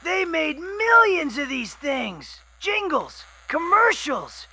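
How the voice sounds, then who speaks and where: An actor playing a reckless, enthusiastic wasteland scavenger who talks in excited exclamations. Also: male voice, extremely high pitch, slow pace, gravelly, heavily acted.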